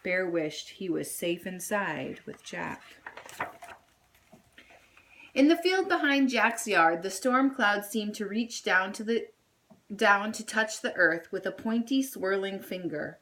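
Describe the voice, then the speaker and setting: A woman reading a children's story aloud in an expressive style. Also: mid-range voice, a little slow. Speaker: storybook reader.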